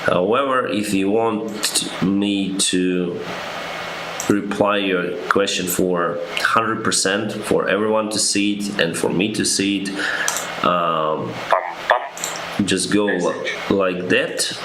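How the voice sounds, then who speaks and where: Low-voiced pilot. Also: male voice, fairly even intonation. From Ukraine. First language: Russian. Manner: unhurried, reads out viewer questions and answers with frequent hesitations. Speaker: airline pilot. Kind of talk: live-stream Q&A. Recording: echoey room with background noise.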